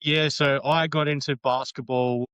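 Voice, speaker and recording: A man's voice: medium pitch, flat delivery, measured pace, a bit bright, clear, resonant tone, no room sound. A man in his late thirties with an Australian accent, chatting casually as a podcast guest, rambling with frequent ums and restarts. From Western Australia. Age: 30s.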